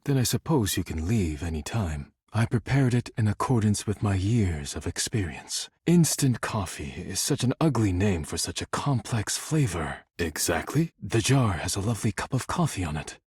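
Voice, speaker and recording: A man voicing a serious, proud, formal dish character in a dating sim game, speaking in measured, precise and reserved phrases. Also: fairly low pitch, very animated, darkish tone, slightly rough, no echo, clean studio sound, exaggerated voice. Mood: cold, hurt. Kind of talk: scripted character dialogue.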